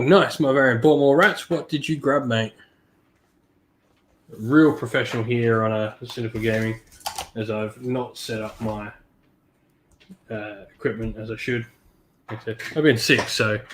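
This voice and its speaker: A man hosting a casual hobby stream, a little croaky from being sick, laid-back with lots of ums. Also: medium pitch, a little slow, long pauses, a little hiss or hum. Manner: laid-back, many ums, greets chat viewers by name. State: slightly croaky from illness. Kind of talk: casual live-stream chat while painting miniatures.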